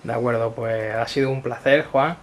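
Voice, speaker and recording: Polite male interviewer, mid-pitched voice. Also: steady tone, normal speed, slight background noise. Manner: polite, prompting with short questions. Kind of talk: informal on-location interview.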